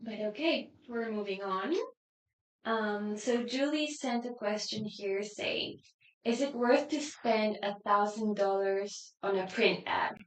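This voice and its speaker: Woman host reading questions slowly, off-mic in a roomy space. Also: mid-range voice. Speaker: Q&A moderator. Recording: distant, echoey room.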